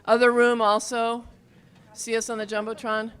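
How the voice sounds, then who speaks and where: A woman's voice: fairly high pitch, little pitch movement, normal speed, fairly bright tone, smooth. A woman hosting a live event from the stage, casually coaxing the crowd in a playful way. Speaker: event host. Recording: live event with audience noise. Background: faint crowd.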